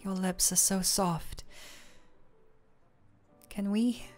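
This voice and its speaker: A young woman playing a shy, smitten former coworker in an intimate romantic audio roleplay, speaking softly and haltingly. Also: mid-range voice, steady tone, faint music behind. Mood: shy, flustered. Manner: shy, halting, soft-spoken.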